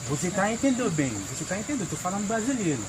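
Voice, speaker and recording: A man's voice: high voice, sing-song, rapid-fire. A man with a Haitian accent publicly confronting someone, speaking emphatically and insistently and repeating his statements. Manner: emphatic, repetitive. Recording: noisy outdoor crowd recording. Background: crowd and ambient noise.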